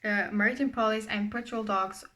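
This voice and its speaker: A young woman with a Portuguese accent tells a true crime case in English, her second language. Her voice is bright and forward, and her delivery is loose and conversational, with frequent fillers and restarts. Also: medium pitch, fairly even intonation, normal speed. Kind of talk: casual true crime narration.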